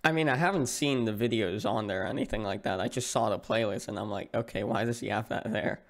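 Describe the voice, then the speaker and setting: Male stream host, gravelly fry in the voice, relaxed, reads chat questions, desktop mic on a call. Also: on the low side, fast. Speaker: stream interviewer. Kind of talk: casual livestream interview.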